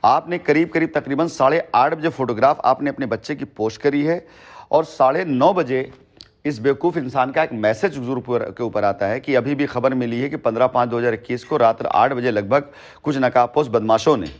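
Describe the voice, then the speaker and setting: A man's voice: mid-range voice, moderate pace. A man on a recorded phone call, explaining at length in an emphatic, long-winded way and repeating his phrases for weight. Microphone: telephone.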